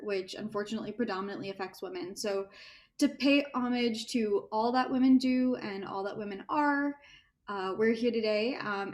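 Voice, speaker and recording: A young woman volunteer moderating an online panel on a video call, speaking casually and politely with many filler words. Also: a little high. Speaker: event moderator.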